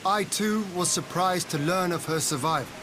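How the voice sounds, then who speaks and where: Actor as young lord for game drama: solemn, reassuring, formal, slightly high male voice, rain noise behind. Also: close to an everyday voice. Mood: grave. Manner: formal, archaic, measured. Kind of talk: video game cutscene acting.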